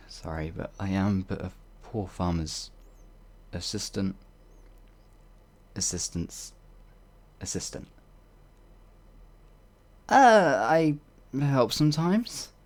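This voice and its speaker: Man voicing a nervous werewolf, deep husky voice, meek, hesitant, rambling apologies, audio roleplay. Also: darkish tone, heavily acted. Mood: nervous, bewildered. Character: timid, anxious, apologetic young male werewolf farmhand. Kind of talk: ASMR audio roleplay monologue.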